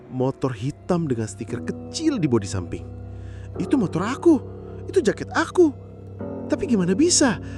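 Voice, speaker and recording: High, animated male horror storyteller. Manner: suspenseful, theatrical, pausing between short phrases. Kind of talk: dramatic first-person horror narration. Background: background music.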